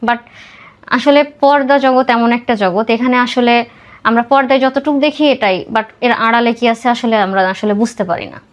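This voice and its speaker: High-voiced Bangladeshi woman telling her story. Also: moderate pace, dull audio. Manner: mixes English words into her talk. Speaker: podcast guest. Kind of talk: personal life story told in an interview.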